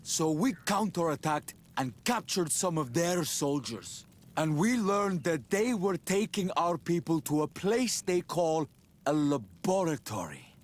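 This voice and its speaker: An actor playing a grave, distrustful rebel chief in a fantasy video game, stern and measured. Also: male voice, fairly high pitch, very animated.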